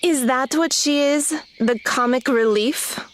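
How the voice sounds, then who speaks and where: A woman playing a serious, grumpy teenage girl with a dry, deadpan manner in an audio drama. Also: sits high, a little slow, slight background noise. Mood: dry, irritated.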